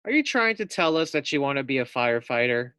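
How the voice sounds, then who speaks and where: A man voicing a kind woman firefighter in a storybook reading, gentle and calm. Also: medium pitch, quick pace, clear, resonant tone, dry recording, clean studio sound.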